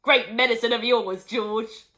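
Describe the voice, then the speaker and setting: Woman doing a gleeful, booming farmer. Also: steady tone, measured pace, sharp tone. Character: gleeful, callous middle-aged farmer husband.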